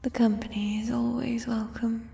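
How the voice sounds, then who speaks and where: A woman voicing a doting, possessive giant female troll in a soft, breathy whisper, with formal, coaxing phrasing, in an ASMR roleplay. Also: fairly high pitch, little pitch movement, in a large hall. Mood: gently soothing. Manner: unhurried, formal, old-fashioned phrasing, coaxing. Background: fantasy ambience music.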